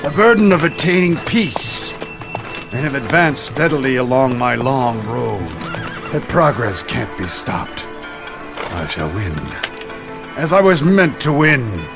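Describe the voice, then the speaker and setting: An actor playing a solemn, enduring middle-aged samurai lord in a dramatic English game dub, speaking in a weighty, deliberate way. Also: male voice, medium pitch, expressive, gravelly. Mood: determined. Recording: dramatic music behind the voice.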